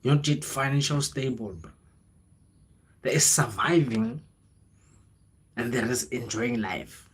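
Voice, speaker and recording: A young man with a South African accent talks casually to his livestream audience in a mid-pitched voice. He rambles in a motivational way, leaning on slang and repetition. Now and then he switches into local languages. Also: unhurried, long pauses, husky. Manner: informal, slangy, code-switches into local languages, repeats phrases. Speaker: livestreamer, content creator.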